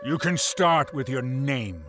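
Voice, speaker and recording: An actor playing an imposing, menacing pirate warlord in a video game, interrogating in a domineering, commanding way. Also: male voice, mid-range voice, lively intonation, slow, fairly bright tone, faint music behind. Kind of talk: video game villain acting.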